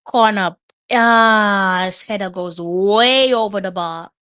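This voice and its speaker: A woman's voice: medium pitch, slow, pushed and bright, smooth, dry recording, clean studio sound. A woman hosting a live match watch-along, chatting and musing aloud as she reads up on players. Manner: chatty, muses aloud while reading up on players. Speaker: host.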